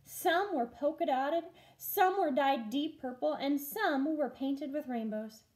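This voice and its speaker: A woman teacher reading a children's storybook aloud in an expressive storytelling style. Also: high-pitched, takes it slowly.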